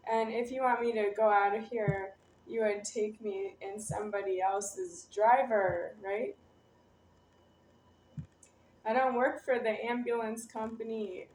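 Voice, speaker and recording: A woman giving a halting, disjointed, rambling monologue, as if addressing someone directly. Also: fairly high pitch, fairly even intonation, a little mellow. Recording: roomy, distant, noisy home recording.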